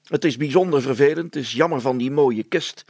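A man voicing a nervous, excitable town mayor with a fussy, exclamatory way of talking in an audiobook story. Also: mid-range voice, brisk, slightly rough, dry recording, voice pushed up. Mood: resolute, regretful. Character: nervous, excitable, curious male burgomaster.

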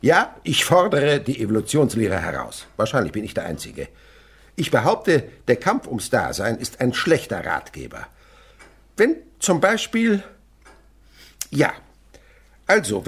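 An actor playing an elderly French insect researcher in a German radio play, lecturing emphatically and commanding those around him. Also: male voice, mid-range voice, husky, full character voice. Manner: emphatic, animated, instructive. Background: buzzing insects.

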